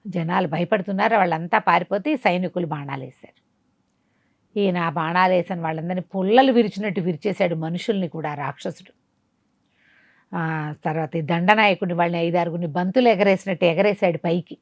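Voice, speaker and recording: A woman's voice: fairly low pitch, fairly quick, no room sound, muffled recording. Woman storyteller, dry close sound. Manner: conversational, dramatic, explains with asides and rhetorical questions. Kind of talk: animated oral storytelling of a folk moral tale.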